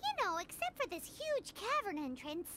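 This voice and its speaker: A woman's voice: sing-song, moderate pace, noisy background. A woman voicing a tiny, childlike fairy companion in a video game, perky, cheeky and chatty. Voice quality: squeaky, childlike. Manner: refers to herself in third person, perky. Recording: music playing behind the voice.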